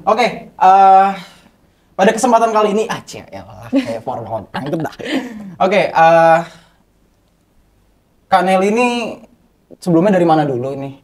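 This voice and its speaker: A man's voice: high-pitched, expressive, unhurried, pauses often. A young man hosting a casual podcast chat, playful and slangy, cracking jokes as he asks questions. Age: young adult. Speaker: podcast host.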